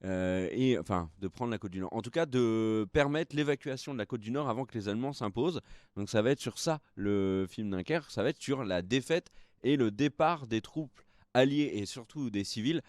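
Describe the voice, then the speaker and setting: Young male co-host, tired, loose and filler-heavy, mid pitch, dry close sound. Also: animated, moderate pace, darkish tone, no echo. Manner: rambling, hesitant with many fillers. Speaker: co-host of a film news show. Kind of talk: casual live-stream chat.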